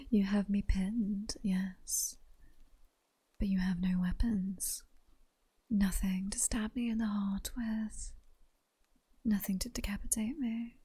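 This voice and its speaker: A woman playing a seductive, mocking vampire queen in an ASMR roleplay, with a British accent, speaking in a breathy, teasing, commanding whisper. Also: mid-range voice, mellow. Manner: slow, teasing, sultry and commanding. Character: seductive, mocking vampire queen toying with her captured hunter.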